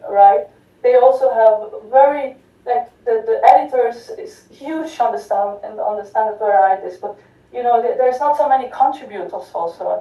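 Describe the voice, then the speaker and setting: A woman giving an academic conference talk, with many fillers and restarts, often checking in with "right?". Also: fairly high pitch. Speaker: conference speaker. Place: lecture hall. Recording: distant echoey lo-fi hall recording.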